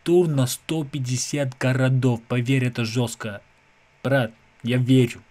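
A young man from England, a native English speaker with an English accent, giving casual reaction commentary in an animated, slangy way with English interjections. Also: mid-range voice, unhurried. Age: young adult. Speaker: reaction video host. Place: small room at home. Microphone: desk microphone close in front, headphones on.

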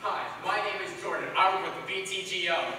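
A man's voice: high voice, brisk, natural voice. A young man acting a jaded otherworldly official in a comic amateur stage play. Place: school stage in large auditorium. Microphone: far camera. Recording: echoey noisy auditorium from far off.

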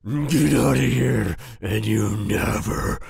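A man playing a volatile but soft-hearted werewolf boyfriend in an ASMR roleplay, growling and raspy, with sighs and groans. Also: fairly low pitch, a little hiss or hum, heavily acted. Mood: furious. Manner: snarling outbursts, sighs and groans, slow brooding delivery. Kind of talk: ASMR roleplay audio drama.

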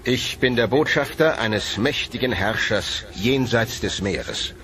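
An actor voicing a formal, self-assured Spanish conquistador envoy in a documentary reenactment. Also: male voice, mid-range voice, animated, slow pace, a bit bright. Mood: solemn. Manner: formal, declaiming.

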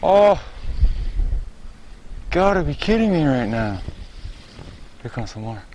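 A young man in excited self-talk while kayak fishing on open water, exclaiming and repeating short phrases. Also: fairly high pitch, slow pace. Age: young adult. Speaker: kayak angler, vlog host. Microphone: action camera on kayak. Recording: noisy outdoor action camera on water.

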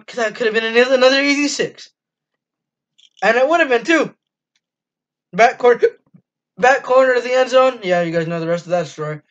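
Teen boy, casual fan review, rambling, restarts often, webcam at a desk, muffled low-fi audio. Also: fairly bright tone. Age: teens. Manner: rambling and enthusiastic, lots of ums and restarts. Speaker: fan channel host. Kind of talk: casual postgame review monologue. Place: small dim room indoors.

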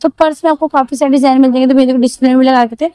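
A woman's voice: high-pitched, fairly even intonation, very fast, dark tone, no room sound. A woman vlogging from an outdoor street market on a handheld phone, chattily repeating each price for her viewers. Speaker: shopping vlogger. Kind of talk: market walkthrough vlog.

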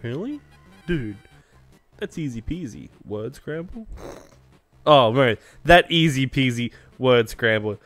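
A young man voicing a lazy, laid-back male skeleton who jokes in a quiet drawl. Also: medium pitch, big pitch swings, slow pace, pauses often, dry recording, faint music behind. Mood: lazy teasing.

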